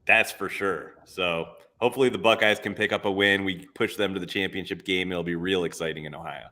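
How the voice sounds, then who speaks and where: A man's voice: low voice, steady tone, a little fast, sharp tone. A man co-hosting a casual sports betting show, upbeat and eager as he talks through his picks. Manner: upbeat, enthusiastic. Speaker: co-host.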